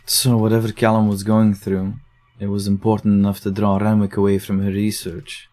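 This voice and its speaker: A man's voice: fairly low pitch, monotone, normal speed, darkish tone, natural voice. A man playing a thoughtful case-file investigator. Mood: reflective. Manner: reflective, analytical.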